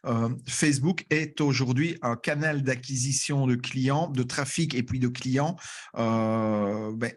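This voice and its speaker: A man hosts an online masterclass and introduces a guest over a video call. His voice is of middling pitch, and he speaks haltingly, with frequent fillers and restarts. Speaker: webinar host.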